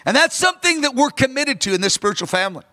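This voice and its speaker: A man's voice: extremely high pitch, very animated, quick pace, pushed and bright. A man in his fifties preaching a sermon on a large church stage into a handheld microphone, earnest and emphatic. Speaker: pastor. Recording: long reverb of a large hall.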